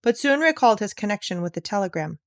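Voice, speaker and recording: A woman's voice: mid-range voice, very animated, quick pace, no room sound, clean studio sound. A woman reading a classic children's story aloud as scripted narration. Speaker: audiobook reader.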